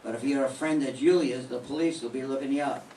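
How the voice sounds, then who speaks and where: A man's voice: mid-range voice, fast talker, mellow, far from the mic, natural voice. Older man playing a hard-boiled detective, clipped blunt questions, echoey room, faint background noise. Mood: probing. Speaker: amateur radio drama performer. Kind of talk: live reading of a 1940s radio detective drama.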